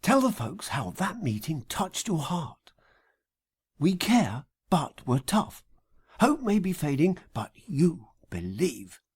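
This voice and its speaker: A man's voice: on the high side, big pitch swings, takes it slowly, husky, pitched above the performer's own voice, heavily acted. A man voicing an earnest, nervous schemer who instructs his accomplice, in acted audiobook dialogue. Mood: earnest, coaching.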